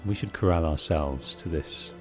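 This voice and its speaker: Deep-voiced British man streaming a game. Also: moderate pace, over music. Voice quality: deep, bassy. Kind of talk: let's play commentary, storytelling. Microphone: close bass-heavy streaming microphone.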